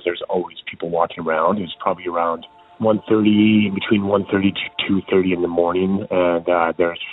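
A man from Canada leaving a voicemail for a podcast, telling a personal story casually and with many fillers. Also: sits low. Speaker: caller. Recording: tinny phone line.

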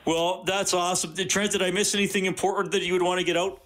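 Male sports radio host, slightly high voice, friendly chatty interviewer. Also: flat delivery, quick pace, fairly bright tone. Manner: friendly, chatty, hesitant restarts.

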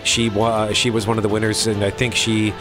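A man co-hosting a podcast in casual conversation, speaking in a halting way as he thinks aloud. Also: fairly low pitch, completely flat delivery. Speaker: podcast co-host. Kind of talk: casual chat about TV. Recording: music behind the talk.

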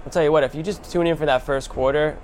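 A man's voice: a little high, fairly even intonation, quick pace, darkish tone. Emphatic male sports host, some background noise. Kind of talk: conversational basketball game analysis.

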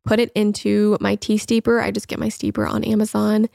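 A woman's voice: mid-range voice, monotone, brisk, gravelly, dark tone, no room sound, studio quality. A young woman hosting a solo wellness podcast, chatty and upbeat as she walks through recipes and habits, a little congested. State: slightly congested. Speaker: podcast host.

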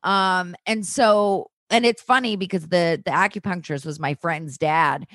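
A woman's voice: on the low side, measured pace, a bit bright, no room sound, clean studio sound. A woman in her thirties, a guest actress, talking candidly and chattily in a casual interview. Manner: candid, chatty, filler-heavy.

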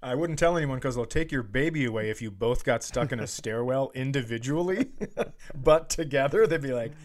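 Man, medium pitch, casual comedy podcast co-host, teasing, riffing, conversational banter. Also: moderate pace.

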